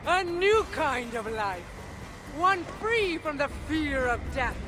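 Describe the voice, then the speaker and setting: A woman's voice: high voice, very animated, takes it slowly, over music, full character voice. An actress playing an imperious, menacing queen of the Norse dead in a video game, speaking in commanding, regal threats. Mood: cold, imperious. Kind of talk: dramatic game cutscene acting. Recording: loud game ambience over the voice.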